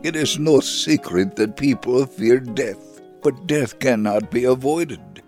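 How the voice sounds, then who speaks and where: A man giving a dramatic reading as a devout Holocaust survivor telling his story, measured, solemn and earnest. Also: medium pitch, a little mellow, faint music behind, lightly acted. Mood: solemn, assured. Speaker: dramatic reader. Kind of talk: dramatic first-person memoir reading.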